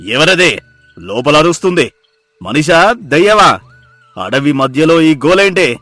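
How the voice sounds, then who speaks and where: A man playing a mocking forest hunter who drives a hard bargain, loud and taunting, in a moral story. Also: on the high side, expressive, slow pace, no room sound, faint music behind, exaggerated voice. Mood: startled, gruff. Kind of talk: dramatized moral story acting.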